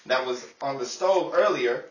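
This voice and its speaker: A man playing a befuddled, excitable wisecracking sidekick in a 1930s radio comedy, in an echoey, noisy recording. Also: medium pitch, unhurried, muffled recording, lightly acted. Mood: bemused storytelling. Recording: echoey room, far mic, noisy background.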